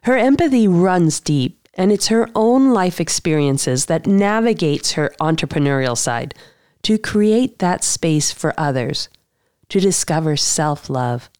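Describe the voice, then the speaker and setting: A woman speaks as the host of an interview podcast. Her voice sits a little low. The recording is dry, with very little room sound. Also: lively intonation, unhurried, a little mellow, no room sound. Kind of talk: scripted podcast introduction and interview.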